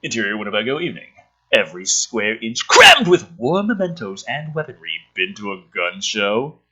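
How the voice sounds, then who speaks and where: A man's voice: on the low side, huge pitch swings, moderate pace, pushed and bright, breathy edge, lo-fi sound. A man sarcastically reading through a film script and commenting on it in a profane, mocking, theatrical way. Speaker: host of a script review show.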